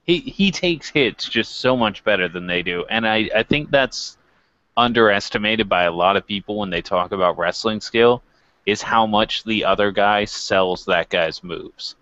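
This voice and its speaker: A man's voice: fairly low pitch, moderate pace, sharp tone, no echo, lo-fi sound. A man co-hosting a wrestling review show, chatting casually with a wry, joking manner. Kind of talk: casual chat.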